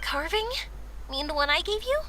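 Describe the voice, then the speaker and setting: A woman's voice: extremely high pitch, animated, moderate pace, background noise. A woman voicing a friendly, caring young adventurer in a video game, with a reassuring manner. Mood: upbeat. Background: game music.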